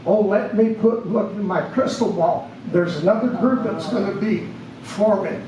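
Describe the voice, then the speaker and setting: A man's voice: sits high, dark tone. An older man giving an earnest public address at a community meeting, caught from a distance in a large room. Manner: slow, earnest speechmaking. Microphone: room mic from a distance. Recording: echoey room, distant mic, faint hiss.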